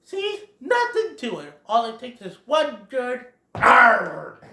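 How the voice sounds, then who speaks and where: A person voicing an excitable young male cartoon rabbit, cocky but quick to panic, with dramatic exclamations. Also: mid-range voice, echoey room, voice pushed up, heavily acted.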